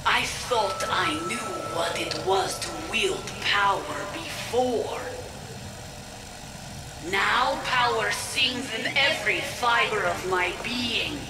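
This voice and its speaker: An actress playing a proud, fanatical military villainess and devoted daughter in a game audio log, speaking with deliberate, theatrical intensity. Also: female voice, medium pitch, very noisy. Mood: exultant. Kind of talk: dramatic video game audio log acting.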